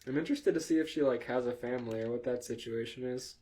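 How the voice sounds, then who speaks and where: A man with a middle-pitched voice hosts a casual comedy podcast. He reads aloud in a mock storytelling way and drops in joking asides as he goes. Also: fast, heavy background noise. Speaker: podcast host reading fan fiction aloud.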